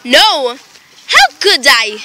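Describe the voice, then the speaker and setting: A woman's voice: high voice, very animated, extremely slow, noisy background, heavily acted. A woman playing an anxious, imperious, exasperated wife in an amateur radio play, speaking in a melodramatic, insistent way. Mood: indignant, exasperated. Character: anxious, imperious, exasperated invalid wife reporting a murder plot.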